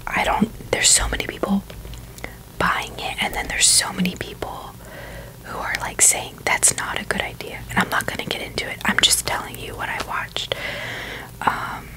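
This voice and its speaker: A woman's voice: normal speed, pushed and bright, rough recording. A young woman whispering a casual, rambling ASMR chat in a hesitant way, with phrases that trail off. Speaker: ASMR video creator.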